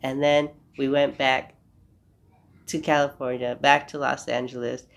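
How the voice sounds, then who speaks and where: A man's voice: mid-range voice, little pitch movement, measured pace, vocal fry, a bit bright. A young man hosting a casual solo podcast, stuffy from a cold, talking in a rambling, reflective way. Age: young adult. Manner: rambling, reflective, apologetic asides. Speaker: podcast host. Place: home room.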